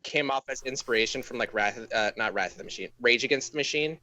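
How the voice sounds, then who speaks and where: A man's voice: mid-range voice, quick pace, bright, forceful tone. A man co-hosting a podcast, chatting casually as he gives his opinions. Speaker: podcast co-host reviewing music. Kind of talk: casual chat. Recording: slightly dull lo-fi audio.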